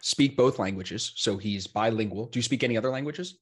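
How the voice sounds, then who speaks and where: A man's voice: fairly low pitch, fairly quick, husky. A man in his thirties hosting a casual podcast interview, upbeat and chatty, calling his guest bro, on a close studio mic at home. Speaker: podcast host. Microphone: close red studio mic, over-ear headphones.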